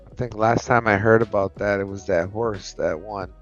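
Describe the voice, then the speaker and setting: A man's voice: lowish voice, steady tone, moderate pace, dull audio, soft background music. A man chatting casually as a guest on a live stream, laid-back, reacting with short remarks and casual swearing. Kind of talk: casual chat.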